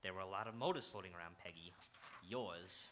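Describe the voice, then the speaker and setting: A man's voice: deep voice, brisk, a bit bright. A man playing a blunt, world-weary Broadway detective in an old-time radio crime drama, with terse, dramatic delivery on a live stage. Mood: accusing. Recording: live stage recording with faint noise.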